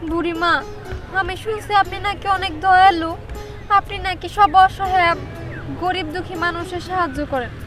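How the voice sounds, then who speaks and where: A woman plays a poor young cartoon girl with an extremely high voice, earnest and pleading. Loud music runs behind her, with crowd sounds. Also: fairly even intonation, normal speed. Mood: beseeching. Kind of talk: Bengali cartoon dubbing.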